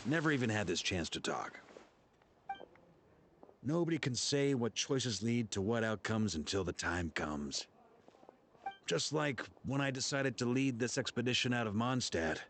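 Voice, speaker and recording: Wry seasoned expedition commander. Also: male voice, medium pitch, a little hiss or hum. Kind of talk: fantasy game voice acting.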